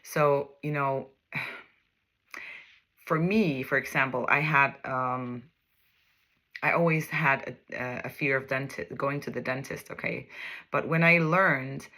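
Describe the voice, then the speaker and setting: Woman, Danish accent, native Danish speaker, tarot reader, casual spoken reading, bright clear tone, rambling, searching for words. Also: rumbling low, steady tone, takes it slowly, long pauses. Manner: rambling, searches for words, keeps asking "okay?".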